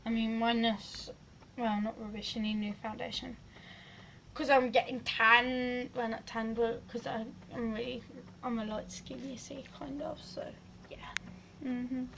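A woman's voice: fairly high pitch, fairly even intonation, unhurried, creaky, a little hiss or hum, poor audio. A teenage girl, losing her voice, chatting and rambling in a giggly selfie vlog in her bedroom. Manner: rambling, giggly, drifts loud and soft. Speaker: vlogger. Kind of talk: casual selfie vlog chat. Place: small bedroom indoors. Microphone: phone camera at arm's length.

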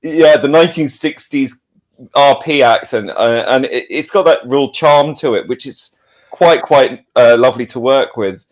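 A man's voice: medium pitch, a little slow. A man talking casually in an interview as an audio drama producer, enthusiastic and often restarting his phrases.

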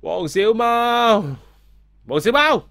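A man voicing a loud, childish, self-important male patient who hollers in a drawn-out, boastful way in an audio story. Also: extremely high pitch, big pitch swings, creaky, dry recording, pitched above the performer's own voice, full character voice. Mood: loud calling.

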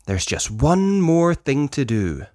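A person reading a children's story aloud in expressive narration. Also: mid-range voice, slow pace, no echo, clean studio sound. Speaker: storybook reader.

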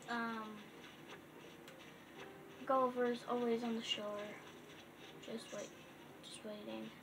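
A young girl chatting casually while playing a video game, reading the game's letters aloud haltingly. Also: on the high side, noisy background. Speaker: gaming vlogger. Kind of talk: casual gameplay chat. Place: dim bedroom in front of a TV.

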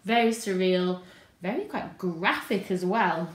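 A woman's voice: fairly low pitch, expressive, measured pace. British woman chatting in a roomy space. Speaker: presenter. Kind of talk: casual chat to camera. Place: indoor room at home. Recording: roomy home sound.

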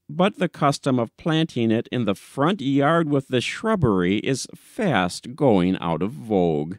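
A man's voice: mid-range voice, no echo, studio quality. A man narrating a classic humorous short story as an audiobook reader, in a scripted storytelling style.

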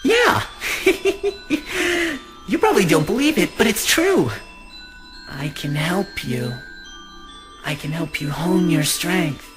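A woman voicing a small talking flower in a comic dub, flattering and coaxing with a cruel, scheming streak. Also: lowish voice, takes it slowly. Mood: sly coaxing. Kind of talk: comic dub character acting. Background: music.

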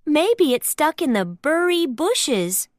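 A woman's voice: high voice, sing-song, takes it slowly, dry recording, studio quality, pitched above the performer's own voice, exaggerated voice. A woman voicing a friendly, clumsy toy dragon in a children's storybook in a simple, eager manner. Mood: puzzled. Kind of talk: children's storybook character reading.

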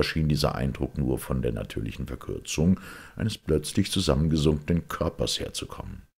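A man reading a detective story as scripted literary narration, in a measured, dramatic manner. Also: a bass voice, creaky, a little mellow, slightly rough. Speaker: audiobook reader.

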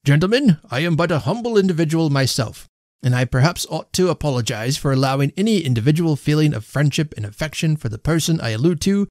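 A man voicing a self-important, stentorian host who speaks haltingly and pompously in a storybook reading. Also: a little high, expressive, a little mellow, no echo, studio quality, voice pushed up, close to an everyday voice. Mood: pompous, floundering. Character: self-important, stammering middle-class host making a pompous toast. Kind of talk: storybook character voice.

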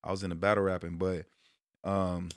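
A man in his thirties from Brooklyn, hosting a casual sports talk stream from a small room at home, switching between loud hype and low muttered asides. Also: flat delivery, a little fast, no room sound, clean studio sound. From Brooklyn, New York. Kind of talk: casual monologue to viewers.

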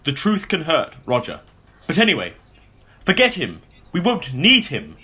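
A man playing a husky, excitable brother. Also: on the high side, a little slow, exaggerated voice. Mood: grandiose. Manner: dithering, excitable. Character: inept, pompous, eager upper-class brother running antique shop. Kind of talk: comedy radio play acting.

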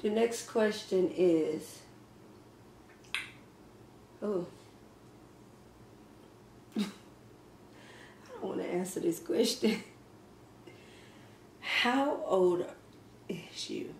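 A woman's voice: mid-range voice, animated, very slow, vocal fry, pauses often. Chatty woman eating in an echoey kitchen. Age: 30s. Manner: chatty, laughs often, talks while eating. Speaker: mukbang vlogger. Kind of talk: casual Q and A chat while eating. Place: home kitchen, indoors. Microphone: fixed camera across a table. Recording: echoey kitchen with faint ambient noise.

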